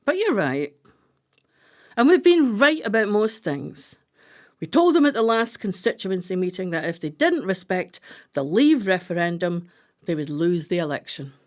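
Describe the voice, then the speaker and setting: A woman acting in a radio play as a brisk, practical wife with a composed, wry manner. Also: medium pitch, very animated, lightly acted. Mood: firm, soothing. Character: brisk, practical wife, former tennis champion turned coach.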